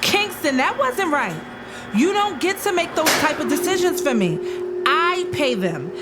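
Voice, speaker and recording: Actress doing bossy young woman going off, very high lively voice, confrontational, music behind. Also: a little slow. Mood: indignant. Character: demanding, indignant young woman, boss's sweetheart. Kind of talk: audio drama acting.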